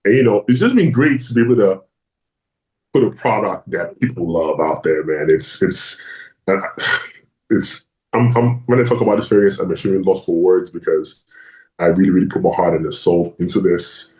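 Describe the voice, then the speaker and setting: A very low-voiced man from Nigeria whose first language is Yoruba, answering interview questions over a video call. He talks in a boastful, easygoing way, leaning on "you know" between his thoughts. His voice rings in a roomy, echoey space. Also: normal speed, mellow. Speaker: guest wrestler. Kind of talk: casual interview.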